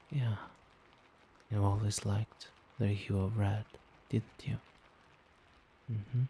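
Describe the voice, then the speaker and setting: A man as a low, soft-spoken doting vampire boyfriend. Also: slight background noise. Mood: soothing, hypnotic. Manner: tender, reassuring, coaxing. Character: doting, gentle male vampire boyfriend soothing partner to sleep. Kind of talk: soft-spoken ASMR roleplay.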